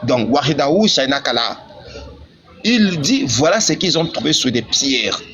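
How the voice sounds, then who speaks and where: A man's voice: mid-range voice, very animated, a little slow, bright, forceful tone, husky. A man debating religion heatedly, speaking in an insistent, repetitive, argumentative way over a live online connection. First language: not Arabic. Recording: muffled lo-fi call, background noise.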